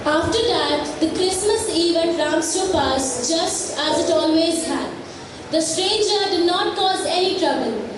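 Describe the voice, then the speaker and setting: A woman's voice: extremely high pitch, lively intonation, a little slow, noisy background. A woman narrating a school play from a script on stage. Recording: echoey hall, distant, music behind. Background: music.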